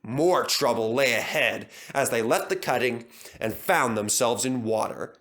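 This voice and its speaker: A man's voice: medium pitch, a little slow, a bit bright. A man reading a scripted children's story as its narrator.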